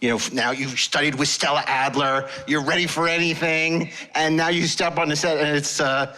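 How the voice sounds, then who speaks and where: A man's voice: a little high, steady tone, moderate pace, pushed and bright, rough recording. A man hosting a panel, asking questions in a casual, wisecracking way. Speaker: panel host, interviewer. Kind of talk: casual, jokey interviewing.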